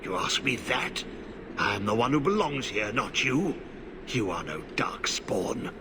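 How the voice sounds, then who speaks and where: An actor playing a half-mad, blighted old warden in a video game, muttering in halting, repetitive fragments. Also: male voice, mid-range voice, sing-song, background noise. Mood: muttering, agitated. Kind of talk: dramatic video game acting.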